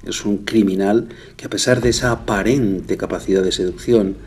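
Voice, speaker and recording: A man's voice: lowish voice, moderate pace, a little mellow, slightly rough. A man giving emphatic expert commentary as a forensic psychiatry doctor, piling up words for weight. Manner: emphatic, piles up adjectives and repetitions.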